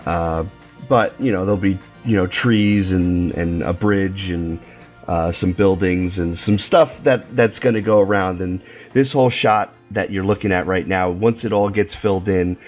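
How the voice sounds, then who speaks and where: A man's voice: low-pitched, normal speed, lo-fi sound, faint music behind. A man co-hosting a casual gaming chat, a little nasal and scratchy from a sinus infection, rambling with lots of fillers. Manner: relaxed, rambling, many fillers. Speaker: co-host building a theme park in a video game.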